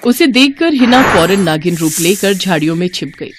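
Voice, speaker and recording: A woman's voice: on the low side, animated, normal speed, background noise. A woman narrating a scripted moral story as a dramatic storyteller.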